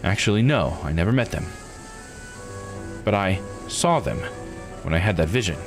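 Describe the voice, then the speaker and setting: A man's voice: deep voice, lively intonation, moderate pace, music in the background, voice pushed down. A man voicing a troubled young immortal in a visual novel, speaking with hesitant, questioning uncertainty.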